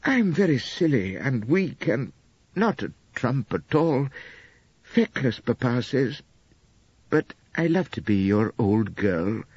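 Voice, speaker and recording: A man voicing a sweet, silly, adoring young British wife, gushing artlessly in a breathy audiobook performance. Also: mid-range voice, sing-song, slow, a little mellow, dry recording, dull audio, pitched above the performer's own voice, full character voice. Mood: coy, affectionate. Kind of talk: audiobook character acting.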